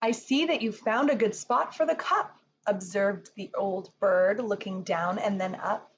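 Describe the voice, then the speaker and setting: A woman reading a rhyming children's picture book aloud in an expressive storytelling manner. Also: medium pitch, a little slow, muffled recording. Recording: faint background noise.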